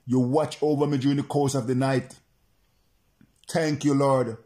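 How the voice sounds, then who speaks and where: A man's voice: medium pitch, level tone, a little slow, warm tone. A male preacher praying and preaching to remote listeners, repeating his phrases in an insistent, chant-like cadence.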